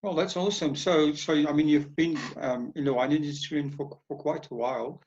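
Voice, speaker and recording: A man's voice: on the high side, steady tone, a little fast, muffled recording, a crowd in the background. A man hosting an interview, asking short, friendly questions. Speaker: interviewer, host.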